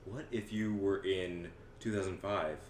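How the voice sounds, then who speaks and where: A man in his twenties bantering casually as a podcast host, excitable, sweary and often stammering over repeated words. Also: low voice, measured pace. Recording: echoey room, clear background noise.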